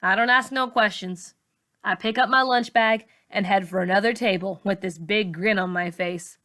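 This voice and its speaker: A woman reading a novel aloud in expressive narration, like a teacher reading to students. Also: medium pitch, moderate pace. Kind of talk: expressive audiobook-style narration.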